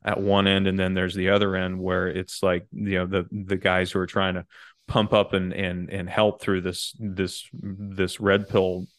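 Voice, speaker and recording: A man speaking casually on a podcast, reflective and halting, often repeating words as he works through a thought. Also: deep voice, completely flat delivery, dry recording, clean studio sound. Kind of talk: casual discussion.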